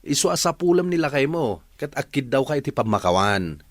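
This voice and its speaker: A man's voice: medium pitch, very animated, a little fast, no echo, studio quality. A man playing a plain-spoken family friend in a radio drama, giving admonishing, reproachful advice.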